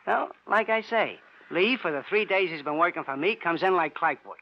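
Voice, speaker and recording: Rambling, streetwise New York mechanic, high-pitched, for vintage radio crime drama, dull recording. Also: male voice, normal speed. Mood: wisecracking. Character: talkative, sly New York garage mechanic.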